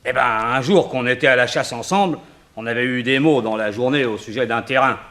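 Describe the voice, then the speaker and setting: An actor playing a blunt, grudge-bearing country farmer in a 1960s French radio drama, with rustic colloquial speech. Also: male voice, medium pitch, brisk, a bit bright, close to an everyday voice. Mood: grudging.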